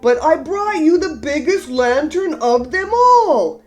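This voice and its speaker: A big, patient, slightly weary male bear from a children's storybook, voiced by a woman. He speaks slowly in drawn-out phrases with wide, swooping intonation, over soft music. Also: sits high, big pitch swings, voice pushed up, full character voice. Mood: reassuring. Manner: patient, drawn-out questions and reassurances. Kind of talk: storybook character read-aloud.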